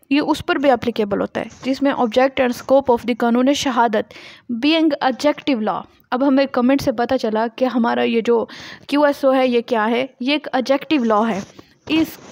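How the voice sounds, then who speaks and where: A woman's voice: high voice, a little mellow, no echo. A woman with a Pakistani accent giving a lecture, reading out passages and explaining them in a didactic way, often asking and answering her own questions. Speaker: law lecturer.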